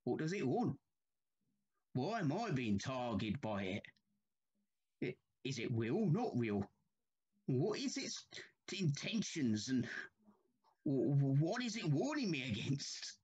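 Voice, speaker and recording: An actor playing an anxious, haunted older railway signalman in a Victorian ghost story, troubled and halting, with formal old-fashioned speech. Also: medium pitch, big pitch swings, a little mellow, slightly rough, lo-fi sound, full character voice. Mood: troubled, dread.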